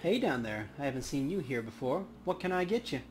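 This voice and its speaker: A man's voice: medium pitch, very animated, brisk, natural voice. A man voicing a tough, jaded elf woman bartender, curt and streetwise, reading video game dialogue aloud. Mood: flirty.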